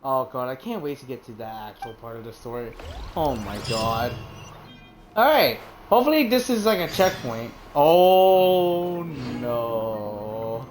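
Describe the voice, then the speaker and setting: Animated, swearing woman game streamer. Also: rumbling low, unhurried. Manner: rambling, swears, laughs often. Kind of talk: casual gameplay commentary.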